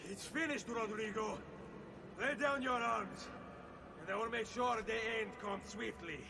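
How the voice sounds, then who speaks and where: A man's voice: sits high, unhurried, vocal fry. An actor playing a vengeful, resolute young male assassin in a video game, grave and defiant. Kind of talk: dramatic video game acting. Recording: dramatic music behind the voice.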